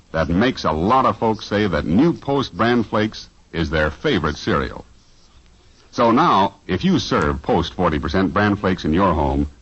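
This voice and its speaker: A man reading a 1950s radio commercial, smooth and persuasive. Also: deep voice, fairly bright tone. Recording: dull old radio broadcast recording.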